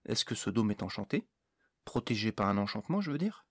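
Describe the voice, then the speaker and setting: A man's voice: fairly low pitch, big pitch swings, brisk, slightly rough, dry recording. A man voicing a stolid male adventurer in a fantasy audiobook, sceptical and impatient, with a dry, resigned manner.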